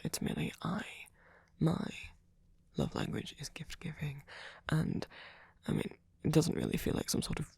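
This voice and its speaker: A man playing a gentle, doting centuries-old vampire boyfriend in an ASMR roleplay, speaking softly and haltingly, often in a whisper. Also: a little high, no room sound, exaggerated voice. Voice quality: soft, breathy, often whispered. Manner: halting, polite, fussing, softly reassuring.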